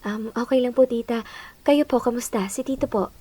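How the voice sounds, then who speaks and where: Hesitant young Filipina. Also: female voice, fairly high pitch, dark tone, slightly rough, no room sound. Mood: polite. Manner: hesitant, halting, polite. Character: awkward evasive young woman. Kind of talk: radio drama acting.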